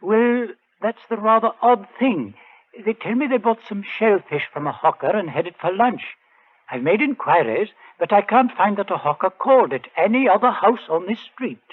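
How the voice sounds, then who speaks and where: Gloomy family doctor, high voice, measured reassurance, vintage radio play, phone-like narrow sound. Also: male voice, animated. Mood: apologetic, puzzled. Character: long-faced, stooped, apologetic male family doctor. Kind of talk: 1940s radio acting. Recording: thin, tinny 1940s radio recording.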